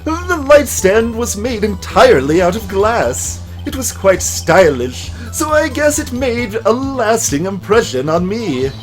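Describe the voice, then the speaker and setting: A smug, flamboyant businessman from a courtroom video game, acted out by a man. His pitch swoops theatrically as he draws out pompous, florid phrases. Faint music plays underneath. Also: sing-song, poor audio. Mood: smug, boastful. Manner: pompous, florid wording, drawn-out words.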